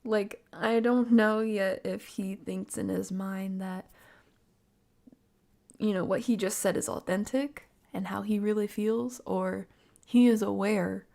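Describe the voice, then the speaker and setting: A woman with noticeable vocal fry hosts a casual livestream reaction. Her talk is slangy and animated, and she picks things apart out loud as she goes, at an everyday female pitch. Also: slow. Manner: animated, slangy, analytical, thinking aloud. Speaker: livestream host reacting to a video. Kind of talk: casual reaction commentary to live viewers.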